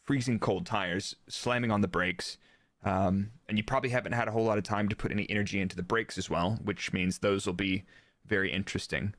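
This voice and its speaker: Low-voiced American man explaining technical detail. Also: fairly even intonation, fairly quick, a bit bright, no room sound, studio quality. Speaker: guest, former Formula 1 performance engineer.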